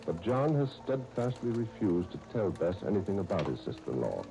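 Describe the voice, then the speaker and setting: A man's voice: low voice, very animated, brisk, creaky. A man announcing and narrating a 1930s radio serial in a formal, dramatic storytelling manner.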